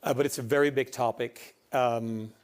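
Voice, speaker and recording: A man's voice: mid-range voice, steady tone, normal speed. A man from the Netherlands with a Dutch accent, speaking earnestly as a panelist. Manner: earnest, emphatic repetition. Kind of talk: conference panel discussion.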